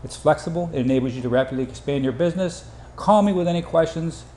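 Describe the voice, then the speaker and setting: A man giving an informal sales presentation over slides, with hurried, clipped phrasing. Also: a little high, a little mellow. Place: indoor room, voice-over for slides. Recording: roomy echo, faint background noise.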